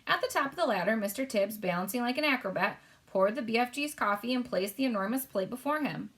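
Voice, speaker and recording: A woman's voice: fairly high pitch, expressive, quick pace, fairly bright tone. Woman reading a storybook aloud expressively. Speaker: read-aloud narrator.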